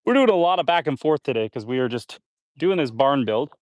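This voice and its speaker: A man on a live stream from his homestead talks in a relaxed, chatty way as he works, stopping to read out viewer comments. He sounds a little nasal and congested. The sound is dry, with no room echo. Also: medium pitch, fairly quick, dull audio. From Canada. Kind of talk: casual live-stream chat while building. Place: outdoors on a homestead.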